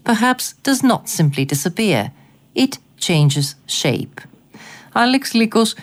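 Female interviewer, slightly low register. Articulate, scripted, reflective and unhurried in phrasing. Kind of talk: scripted, formal interview narration.

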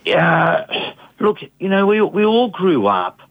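A man's voice: high-pitched, big pitch swings, takes it slowly, gravelly, narrow, tinny audio. A middle-aged man from Australia, an artist giving an interview, speaking reflectively with many ums and restarts. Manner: hesitant, many fillers and restarts.

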